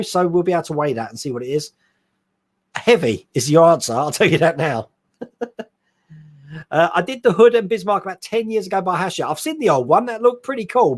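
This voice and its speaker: Lively man chatting on a live stream. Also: on the high side. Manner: chatty, rambling, quick laughs. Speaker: host. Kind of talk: casual live-stream chat reading viewer comments.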